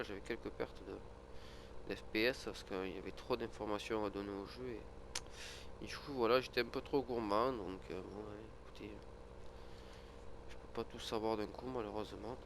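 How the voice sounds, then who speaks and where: Gravelly Frenchman with a cold. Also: fairly low pitch, steady tone, moderate pace, background noise. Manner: rambling, hesitant, many fillers. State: has a cold, sniffling. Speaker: gaming video creator. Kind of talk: casual gameplay commentary.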